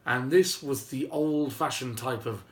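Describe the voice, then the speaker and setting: A man telling a story informally and whimsically, with dramatic pauses and emphasis. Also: mid-range voice. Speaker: storyteller and video host. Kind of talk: informal improvised oral storytelling. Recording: roomy, faint background noise.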